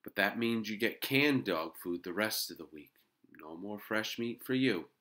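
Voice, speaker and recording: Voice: medium pitch, huge pitch swings, measured pace, a bit bright, voice pushed up. An adult reader voicing a young schoolboy, eager and earnest, in a children's storybook. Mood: grudging, annoyed.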